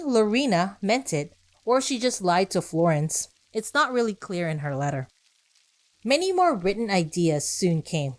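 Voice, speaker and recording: A woman podcast host reading scripted narration with personal asides, speaking conversationally and directly to her listeners. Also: mid-range voice.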